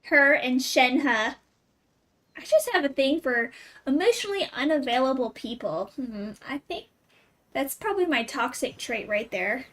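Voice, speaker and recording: A woman streamer commenting casually on a video game. Her voice is high and unhurried, and she chats like a gushing fan. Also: expressive, a bit bright. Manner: chatty, gushing fan talk.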